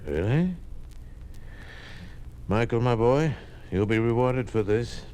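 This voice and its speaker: An actor playing a sly older lieutenant governor, avuncular and evasive, in 1950s radio drama style. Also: male voice, mid-range voice, animated, slow pace, warm tone. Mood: evasive, probing. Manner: avuncular, evasive, hesitant hemming. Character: sly, smooth-talking older lieutenant governor, two-faced party official. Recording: noisy old 1950s radio recording.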